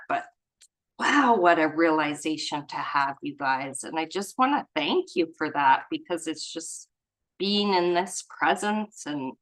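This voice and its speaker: A woman's voice: on the low side, a bit bright, rough recording. A woman leading a spiritual study group, reading aloud and commenting casually in an earnest, reflective way.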